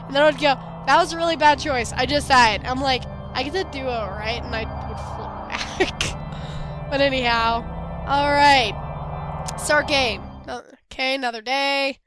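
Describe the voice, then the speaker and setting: A young woman playing a text adventure game, reading its lines aloud and commenting in between. She is a little hoarse from a cold, laughs often and swears loudly when the game surprises her. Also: high-pitched, steady tone, normal speed, music in the background. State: hoarse, getting over a cold. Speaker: let's play gamer.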